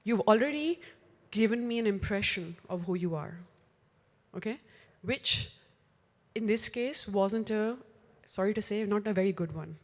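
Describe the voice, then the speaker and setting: A woman's voice: medium pitch, lively intonation, unhurried, pauses often, big-room sound. A woman with an Indian accent giving a live talk to an audience, direct and coaching, slipping into Hindi phrases. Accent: Indian, with Hindi mixed in. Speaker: workshop speaker. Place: large room before a live audience.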